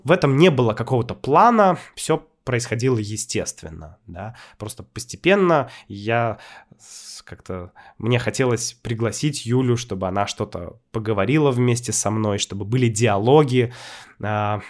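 A man who teaches Russian, talking through his story in a casual, thoughtful way with frequent pauses and fillers. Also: fairly low pitch, animated. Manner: thoughtful, hesitant, with many fillers and restarts. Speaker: Russian language teacher and YouTuber. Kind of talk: casual explanatory monologue.

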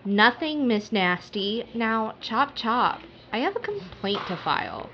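A woman's voice: a little high, expressive, unhurried. A woman playing a vain, needy, easily offended customer in an audio drama, whiny and entitled. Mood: offended, snippy. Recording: muffled, noisy hidden-bug recording in a shop.

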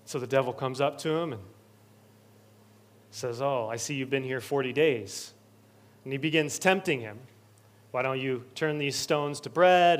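Male pastor, higher-pitched, preaching with earnest building repetition. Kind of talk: sermon. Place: church sanctuary.

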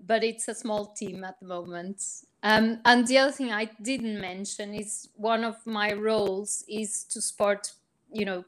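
A woman explains her job as a guest on a podcast, speaking in a measured way and often pausing with ums to rephrase. Her voice sits at a middling pitch for a woman. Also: fairly bright tone. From Portugal. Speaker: guest, research psychologist. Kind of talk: podcast interview.

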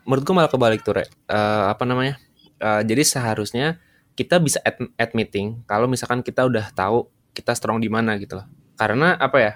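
Man, average pitch, casual co-host, hesitant, works through ideas aloud. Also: fast. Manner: hesitant, restarts while reasoning. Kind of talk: casual podcast chat.

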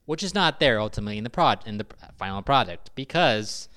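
A man's voice: medium pitch, expressive, quick pace, fairly bright tone. A man co-hosting a casual film discussion podcast, with a dry, skeptical and contrarian manner. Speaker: podcast co-host.

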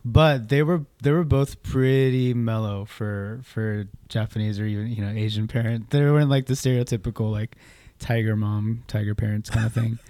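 Man, laid-back interview guest, smooth clear voice, dry close sound. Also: on the low side, normal speed, few pauses, dark tone, no room sound. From Southern California. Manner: laid-back, loose answers with many fillers. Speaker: guest musician. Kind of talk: casual interview conversation. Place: theater venue, indoors.

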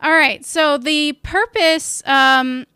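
Loud chatty woman podcasting live from home, bright crisp voice, dry close mic. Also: high-pitched, slow pace, no room sound. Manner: chatty, upbeat, many fillers. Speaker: podcast host. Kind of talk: casual live-stream chat. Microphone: studio mic with portable recorder.

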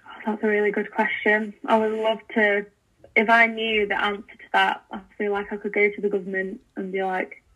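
A woman's voice: medium pitch, monotone, moderate pace, fairly bright tone, husky, dull audio. A young woman, a podcast guest, chatting enthusiastically with lots of fillers and restarts. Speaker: podcast guest, language learning founder. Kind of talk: casual interview chat.

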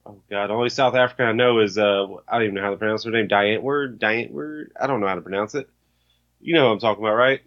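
A man co-hosting a casual gaming podcast, animated and teasing, swearing freely. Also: fairly low pitch, quick pace, dull audio. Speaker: podcast co-host. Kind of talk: casual chat.

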